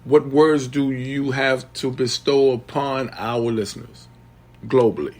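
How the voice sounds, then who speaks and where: Hoarse male podcast host, halting and formal, lots of ums, mid-pitched. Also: fairly even intonation, a little mellow. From East Coast of the United States. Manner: halting, many ums and restarts, formal and respectful. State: hoarse from a sinus infection. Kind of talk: late-night podcast interview.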